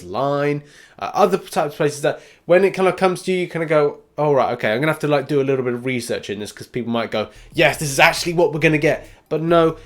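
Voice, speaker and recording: A man with a slightly high-pitched voice delivers gaming news as a host. He talks in a chatty, informal way and leans into his headlines with emphasis. The delivery is casual commentary aimed straight at viewers.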